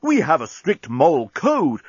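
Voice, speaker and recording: A man's voice: on the high side, wildly swooping pitch, unhurried, slightly rough, no room sound, highs cut off, voice pushed up, full character voice. Man acting a storybook starship captain, pompous, formal, stern, indignant, swooping intonation.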